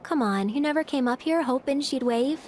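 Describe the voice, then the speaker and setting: Game role: actress as sensitive, frank young woman, conversational, slightly high voice, faint ambience. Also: moderate pace, darkish tone, slight background noise, natural voice. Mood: gentle, teasing. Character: candid, sensitive young woman, gently teasing. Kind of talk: video game character acting.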